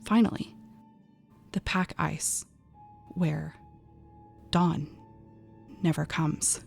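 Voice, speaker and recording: A woman performing dramatic first-person narration in an audio drama, expressive and breathy at tense moments, as a frightened young woman telling an eerie story. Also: low voice, very slow, soft background music. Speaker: voice actor narrating a short story.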